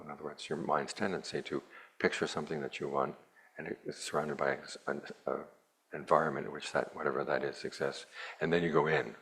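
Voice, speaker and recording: A man with an extremely low, gravelly voice gives a dharma talk as a Buddhist monk teacher. His tone is very husky and breathy. He speaks plainly and at a measured pace, with a dry, wry edge. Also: a bit bright. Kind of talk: dharma talk to a retreat group.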